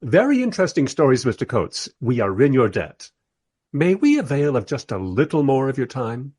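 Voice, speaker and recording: A man plays a sardonic writer who narrates and interviews in a radio play. His mid-pitched voice is husky and very inflected, with a dry, measured, irreverent delivery. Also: very animated, darkish tone. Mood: politely probing. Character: wry, irreverent male writer narrating, politely probing interviewer.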